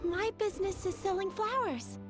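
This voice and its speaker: A woman's voice: extremely high pitch, moderate pace. A woman voicing a sweet, hesitant, earnest young flower seller in a video game. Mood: polite, earnest. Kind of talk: English video game voice acting. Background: faint game music.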